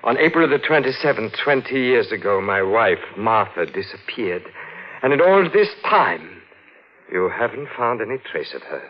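An actor playing a soft-spoken, courteous and menacing cemetery caretaker who is secretly a murderer, in an old-time radio thriller. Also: male voice, lowish voice, sing-song, normal speed. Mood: feigned innocence. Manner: courteous, menacing, dwelling morbidly on flowers and death. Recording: muffled, noisy 1940s radio recording.

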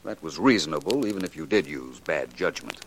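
An actor playing a composed, probing private detective in a late 1940s radio mystery, measured and courteous in his questioning. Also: rumbling low, expressive, vocal fry, a little hiss or hum, heavily acted. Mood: thoughtful.

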